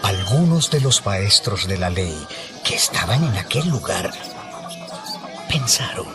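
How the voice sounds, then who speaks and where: A man's voice: fairly low pitch, very animated, a little slow, crowd noise. A man narrating a dramatized scripture reading as a scripted storyteller. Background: music.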